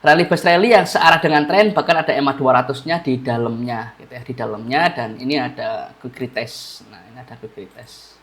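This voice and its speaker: A man's voice: mid-range voice, a little fast, a bit bright. A man with a stuffy, blocked nose, feeling unwell, walking viewers through a chart analysis in a casual way and often repeating key phrases. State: stuffy-nosed, under the weather. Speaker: trading analyst.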